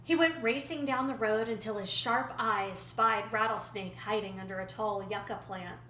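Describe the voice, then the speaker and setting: A woman storyteller narrating a folktale in an expressive, dramatic and deliberate way. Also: medium pitch. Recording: roomy echo, faint background noise.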